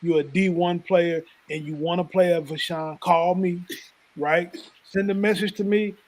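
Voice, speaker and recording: A man's voice: sits high, fairly even intonation, measured pace. A man from St. Louis hosting a casual talk show, boastful and talking over the others. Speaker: host. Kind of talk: casual chat.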